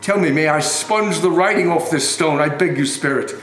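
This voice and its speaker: Man playing old miser, high animated voice, halting pleas and exclamations, theatrical stage reading, roomy echo. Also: close to an everyday voice. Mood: pleading, dread. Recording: roomy, echoing, music underneath.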